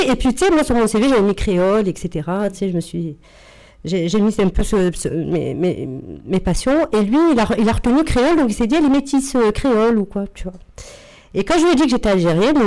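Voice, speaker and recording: A woman's voice: mid-range voice, dark tone. A woman with a strong Marseille accent telling a story bluntly in a casual radio debate. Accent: Marseille French.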